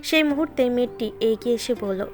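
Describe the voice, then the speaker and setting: A woman's voice: on the high side, brisk. A woman reading scripted narration of a romantic story, with music behind her voice.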